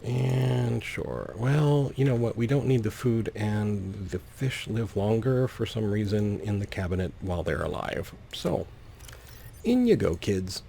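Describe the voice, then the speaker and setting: A man giving casual let's play commentary, thinking aloud in an unhurried, playful way. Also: fairly low pitch, expressive, vocal fry, mellow, slight background noise. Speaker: gaming video host.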